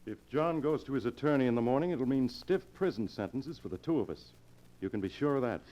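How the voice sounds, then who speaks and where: An actor playing a cold, controlling younger man who plots murder, in the deliberate, insistent style of 1940s radio drama. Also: medium pitch, animated, close to an everyday voice. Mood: grimly insistent. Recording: dull old radio broadcast recording.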